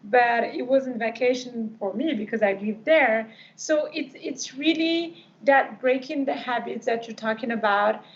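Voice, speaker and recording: Bright-voiced woman host on a video call. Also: a little high, measured pace, hardly any pauses. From Morocco. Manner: conversational, questioning, hesitant ums. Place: home room. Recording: dull lo-fi video call audio.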